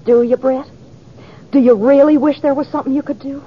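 A woman's voice: high voice, steady tone, fairly quick, close to an everyday voice. A woman playing a coaxing, theatrical travelling actress in a 1950s radio western, dramatic and pleading in her manner. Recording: muffled, noisy old radio recording.

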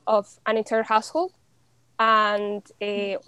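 A young woman from Mexico in casual conversation, chatty, with many fillers and an animated, often laughing delivery. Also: mid-range voice, slow, clean tone, no room sound. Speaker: podcast guest.

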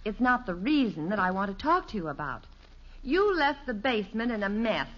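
Lively, muffled radio housewife, played by a woman. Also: mid-range voice, a little fast, background noise, lightly acted. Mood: chiding. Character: sensible, gently chiding wife and mother. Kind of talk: 1950s radio sitcom acting. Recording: muffled old radio recording.

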